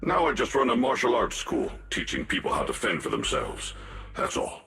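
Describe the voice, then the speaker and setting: An actor playing a stern but humble martial arts master in a video game, speaking in a measured, grave, lecturing way with a gravelly voice. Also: male voice, on the low side, huge pitch swings, pushed and bright, exaggerated voice. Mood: calm, humble. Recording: roomy echo, music underneath.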